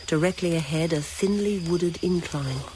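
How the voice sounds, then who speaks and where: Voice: medium pitch, moderate pace. Literary drama narrator on a noisy recording. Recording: noisy old radio recording.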